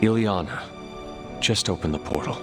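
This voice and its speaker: A man voicing a solemn, courteous warrior hero in a video game, speaking in formal, measured phrases. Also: low-pitched. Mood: grave, earnest. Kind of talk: scripted game dialogue. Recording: music behind the voice.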